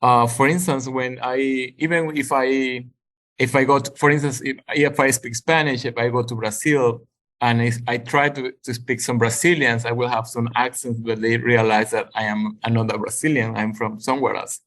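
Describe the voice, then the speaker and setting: A man in a group discussion on a video call, sharing his view haltingly, with many restarts as he searches for words. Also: mid-range voice, steady tone, fairly bright tone. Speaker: program participant.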